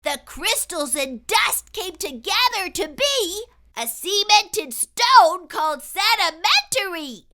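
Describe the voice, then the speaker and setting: A woman voicing an excitable young boy who spins a tall tale in dramatic, sing-song rhyme for a storybook read-aloud. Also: extremely high pitch, slow, hardly any pauses, voice pushed up, heavily acted. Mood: eager storytelling.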